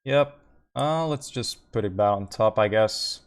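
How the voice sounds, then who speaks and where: A man's voice: fairly low pitch, measured pace, smooth. A man from Quebec with a French accent, streaming a live card game and thinking aloud through his plays, with frequent fillers and easygoing asides to chat. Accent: Québécois French. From Quebec, Canada. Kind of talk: live gameplay commentary.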